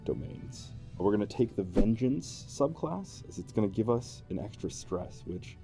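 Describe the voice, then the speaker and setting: A man's voice: fairly low pitch, expressive, unhurried, gravelly, mellow, soft background music. An amused, playful man, explaining a tabletop game character build in a chatty style. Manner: amused, playful, chuckling often. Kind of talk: explanatory chat with a co-host.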